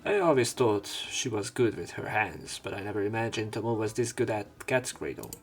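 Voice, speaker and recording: Male let's play narrator, low and creaky, reads game text aloud casually, faint music behind. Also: expressive, normal speed. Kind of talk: reading a visual novel aloud with casual commentary.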